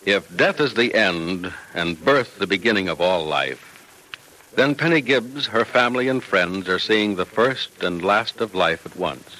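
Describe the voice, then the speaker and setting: A male announcer on a vintage radio broadcast, with a bright, forceful voice on the low side. He reads scripted copy with polished emphasis and lively swings in tone. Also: measured pace, slight background noise, poor audio.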